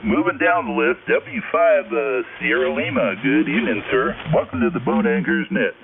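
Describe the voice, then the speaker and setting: A folksy man from Texas runs a ham radio net and chats easily with each caller. His voice is gravelly and goes up and down a lot. It comes through a tinny radio link from a bit off the mic. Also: medium pitch, wildly swooping pitch, moderate pace. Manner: folksy, chatty, laughs easily. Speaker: net control operator. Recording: tinny radio, faint hiss, distant.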